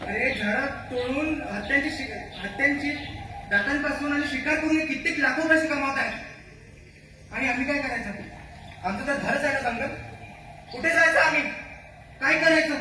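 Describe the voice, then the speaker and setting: A man's voice: very high voice, moderate pace, long pauses, bright, forceful tone, exaggerated voice. A man playing an angry, distrustful forest-tribe leader in an amateur stage play, declaiming accusations and pointed questions. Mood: aggrieved pleading. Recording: echoey hall, far mic, faint noise.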